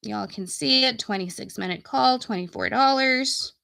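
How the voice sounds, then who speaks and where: A woman's voice: fairly high pitch, moderate pace, gravelly, sharp tone, dry recording. A woman hosting a casual, folksy live stream as a coach, her voice wearing out as she comes down sick. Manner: informal, folksy, addressing viewers directly. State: getting sick, losing her voice. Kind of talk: casual live stream chat and sales pitch.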